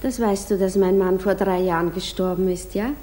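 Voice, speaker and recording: A woman acting a shy young widow full of anxious yearning in an old radio drama. Her voice is mid-pitched, soft and dark, with hesitant, inward delivery over faint noise. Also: fairly even intonation, moderate pace, close to an everyday voice. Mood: shy, tender. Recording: faintly noisy 1950s radio recording.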